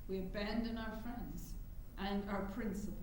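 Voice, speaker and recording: A woman playing an earnest, principled figure in a historical stage reading, deliberate and heartfelt. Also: mid-range voice, steady tone, a little mellow, lightly acted. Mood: reluctant. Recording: very echoey hall, faint background noise.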